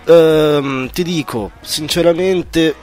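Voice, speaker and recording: A man's voice: fairly high pitch, slow, a little mellow. Guy hosting a radio chat, informal, encouraging, faint music underneath. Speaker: radio show host interviewing a guest. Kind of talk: casual radio interview.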